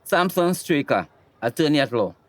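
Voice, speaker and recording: A man's voice: on the high side, big pitch swings, no room sound. Formal male attorney, dry sound. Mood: composed, formal. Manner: measured, formal. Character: Samson Straker, calm, formal male attorney. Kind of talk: radio drama acting, formal reading.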